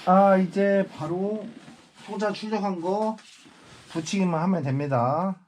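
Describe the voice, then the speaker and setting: A man in his forties talks to his viewers while packing parcels, rambling from one thought to the next and sighing to himself. His voice sits a bit high for a man. A steady noise runs behind him. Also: a little slow. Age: 40s. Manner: rambling, musing aloud, lots of sighing asides. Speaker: small online seller. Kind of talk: casual vlog chat while packing parcels. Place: indoor work space packing parcels.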